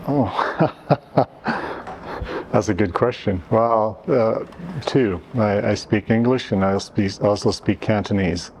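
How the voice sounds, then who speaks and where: A middle-aged man from Canada answers interview questions in a lowish voice, hesitating and restarting often. He fills gaps with "you know" and "uh". A soft hum of ambience sits under the voice. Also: takes it slowly. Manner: hesitant, many restarts and fillers. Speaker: interviewee, event organizer.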